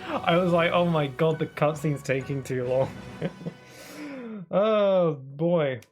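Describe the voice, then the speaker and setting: A man streaming a game, chatting casually and thinking aloud, a bit sniffly from hay fever, with bursts of excitement. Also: on the high side, slow pace, faint music behind. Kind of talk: casual gaming commentary.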